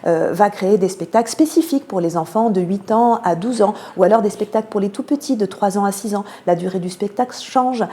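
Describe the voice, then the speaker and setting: A woman with a French accent from France giving measured, explanatory answers as a radio interview guest, pausing often to find her words. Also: medium pitch, warm tone. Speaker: theatre programme manager, interview guest.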